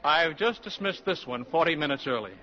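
An actor playing a brisk, self-assured male English professor with dry wit and articulate, formal delivery, in 1940s radio style. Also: on the high side, animated, crowd noise, lightly acted. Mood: wry, commanding. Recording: dull, noisy 1940s radio broadcast.

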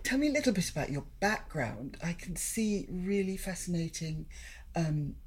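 A woman's voice: on the low side, expressive, moderate pace, slightly rough. A woman interviewer asking questions in a sympathetic, chatty manner.

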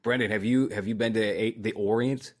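Lower-voiced guy tossing in short wry questions. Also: steady tone, a little fast, a little mellow. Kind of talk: casual chat.

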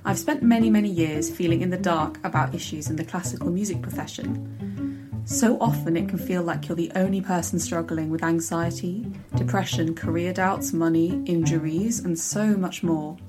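A woman's voice: on the low side, steady tone, moderate pace, loud music behind the voice. Enthusiastic woman podcast host. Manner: warm, enthusiastic, eager. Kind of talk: podcast hosting, scripted intro then chat.

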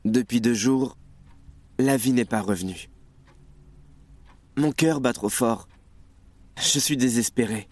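An actor playing an anguished, ashamed young revolutionary in a French audio drama, intense and confessional. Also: male voice, mid-range voice, takes it slowly, pauses often, a little mellow, no echo. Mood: despairing. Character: anguished, fearful young male revolutionary ashamed of his fear. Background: music.

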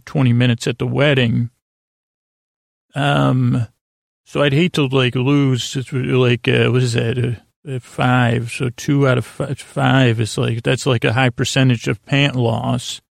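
Creaky-voiced American man, rambling and halting. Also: medium pitch, dry recording, studio quality. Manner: halting, meandering, stammering with frequent self-corrections. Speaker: podcast host. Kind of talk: rambling monologue for a sleep podcast.

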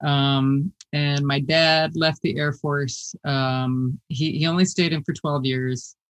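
A woman from the US Midwest with a deep voice, telling her story as an interview guest in a lively, chatty way and laughing as she talks. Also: takes it slowly.

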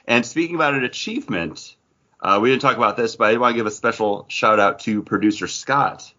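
A man's voice: medium pitch, fairly quick, pushed and bright. A man co-hosting a podcast in casual, joking chat, self-deprecating. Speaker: podcast co-host. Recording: dull lo-fi recording.

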